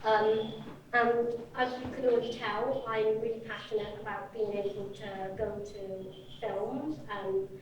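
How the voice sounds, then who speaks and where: A woman's voice: medium pitch, fairly even intonation, a little slow. Woman interpreter, live panel, dull distant sound, heavy room echo. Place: echoey event room, indoors. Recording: very echoey, dull, distant, faint noise.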